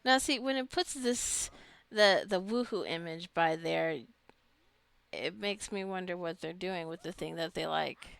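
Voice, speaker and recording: A woman's voice: lowish voice, unhurried, creaky, a bit bright, dry recording. A woman giving casual let's play commentary, chatty and rambling as she muses aloud. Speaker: gamer narrating own gameplay.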